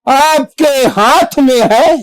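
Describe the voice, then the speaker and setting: Very slow, high-pitched theatrical monologue performer. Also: no room sound. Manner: declamatory, measured, formal diction with dramatic pauses. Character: Hanuman, devoted monkey-god messenger, reverent, reassuring, valiant. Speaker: stage actor in a monologue competition. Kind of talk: theatrical Hindi devotional stage monologue.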